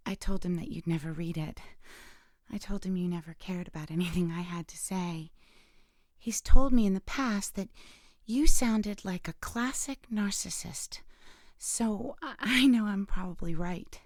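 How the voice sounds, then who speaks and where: An actress playing a bitter, wounded imprisoned wife in an audio drama monologue, speaking in a halting, intimate, emotionally charged way with a creaky voice. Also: female voice, medium pitch, darkish tone, no room sound, clean studio sound, close to an everyday voice. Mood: hurt, accusing. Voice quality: gravelly, creaky. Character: bitter, wounded imprisoned wife who killed her abusive husband.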